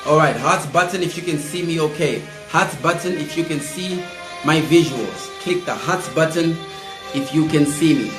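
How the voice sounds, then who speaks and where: A man in his thirties hosting a live political commentary stream, slightly hoarse, chatty and speaking straight to viewers. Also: a little high, moderate pace, music in the background. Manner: chatty, addresses viewers directly, repeats calls. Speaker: host of an online political show. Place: indoor room, seated at a table. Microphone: fixed camera, mid-distance. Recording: roomy echo.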